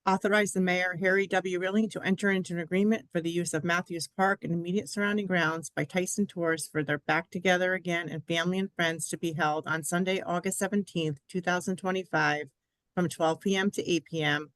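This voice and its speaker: A woman on a committee reading municipal agenda items aloud in a steady, formal way. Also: lowish voice, brisk, no room sound, low-quality recording.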